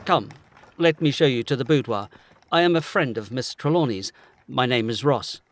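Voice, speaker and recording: An actor playing a polite, composed gentleman and family friend in an audio drama, formal in manner. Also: mid-range voice, moderate pace, close to an everyday voice. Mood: courteous.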